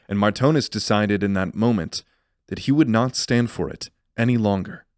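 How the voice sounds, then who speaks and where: Male narrator, lowish pitch, brooding and serious dramatic fantasy storytelling, close dry recording. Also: no echo.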